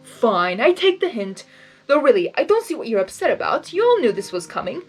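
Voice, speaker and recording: A woman's voice: fairly high pitch, sing-song, a little fast, slightly rough, faint music behind, full character voice. A woman voicing a smug, mocking chaos spirit. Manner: mocking and theatrical. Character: smug mischievous male chaos creature.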